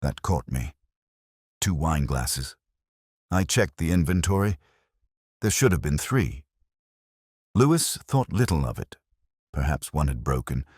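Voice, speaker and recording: Detective drama narrator: male actor as world-weary British inspector, extremely low voice, expressive intonation, measured and brooding delivery. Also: husky, dry recording, studio quality, exaggerated voice. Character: world-weary, melancholy British male police inspector, middle-aged or older. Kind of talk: scripted first-person detective audio drama narration.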